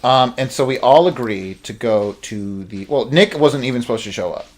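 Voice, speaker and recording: A young man telling a story casually while gaming, in a halting, rambling, slangy way. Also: medium pitch. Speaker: let's play host. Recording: game music playing behind. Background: video game music.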